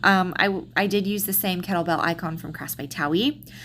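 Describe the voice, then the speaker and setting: Casting: Southern woman, rambling planner vlogger, slightly stuffy nose, lowish voice, faint hum. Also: moderate pace, a bit bright. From Texas, USA. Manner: chatty, rambling, many ums and restarts. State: slightly congested. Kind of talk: casual plan-with-me voiceover.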